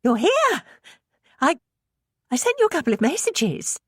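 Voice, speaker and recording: A woman voicing an anxious, polite mother who teaches piano, with a brightly strained manner in an audiobook. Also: sits high, wildly swooping pitch, unhurried, no echo, clean studio sound, voice pushed up, heavily acted. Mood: flustered.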